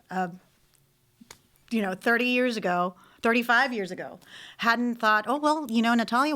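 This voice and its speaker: Guest: woman in her thirties, fairly high voice, lively and restarting often, studio mic. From Puerto Rico. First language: Spanish. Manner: animated, gestures while talking, frequent restarts. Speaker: language school director and teacher. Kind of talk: casual interview talk. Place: TV studio set. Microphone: studio boom mic.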